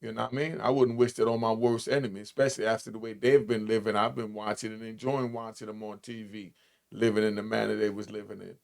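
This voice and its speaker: A man's voice: on the low side, flat delivery, fast, creaky. A middle-aged American man giving casual reaction commentary in a slangy, informal manner, often repeating himself.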